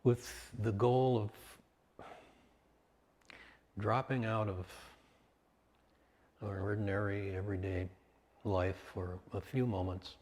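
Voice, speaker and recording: Slow, low-voiced man leading meditation, long reverb. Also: level tone, darkish tone. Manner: unhurried, measured, pausing between short phrases. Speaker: guided meditation leader. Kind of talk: guided meditation, slow instruction in short phrases.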